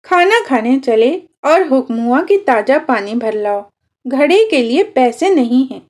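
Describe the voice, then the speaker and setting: Storybook role: weary, complaining village wife played by a female narrator, high and slow. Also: expressive. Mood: weary grumbling. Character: grumbling overworked village housewife.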